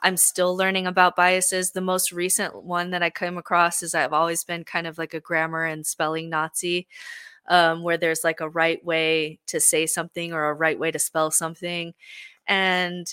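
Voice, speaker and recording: A young woman talking earnestly in a relaxed podcast conversation, with frequent fillers. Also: lowish voice, moderate pace, smooth, dry recording, clean studio sound. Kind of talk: reflective conversational talk.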